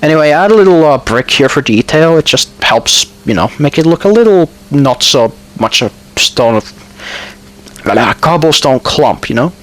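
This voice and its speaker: A man's voice: fairly high pitch, animated, normal speed, rough recording. A man with a Finnish accent, speaking Finnish as his first language, giving a casual voice-over of his own gameplay. He rambles and hesitates, fills gaps with ums, corrects himself, and throws in dry asides. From Finland. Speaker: gamer narrating his building.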